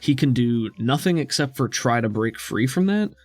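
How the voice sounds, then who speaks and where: A man's voice: medium pitch, lively intonation, moderate pace, a little mellow, dry recording. Casual man running a tabletop game, dry sound. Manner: casual, conversational. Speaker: game master. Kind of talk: narrating and explaining a tabletop game.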